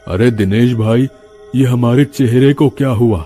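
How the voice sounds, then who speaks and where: A man's voice: medium pitch, a little slow, loud music behind the voice, lightly acted. A man voicing a timid young male villager who stammers when frightened, in a cartoon. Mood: scared.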